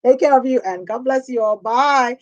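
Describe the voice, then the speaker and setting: A woman with a husky, hoarse voice from a sore throat hosts her motivational show. She talks in an upbeat, encouraging way, like a preacher. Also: sits high, normal speed, dull audio. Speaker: host. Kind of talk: motivational talk show sign-off.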